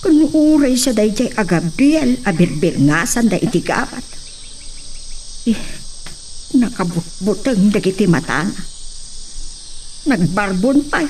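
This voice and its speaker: A woman's voice: mid-range voice, very animated, a little slow, pauses often, a little mellow, far from the mic, slight background noise. A woman narrating an audio drama. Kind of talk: storytelling narration.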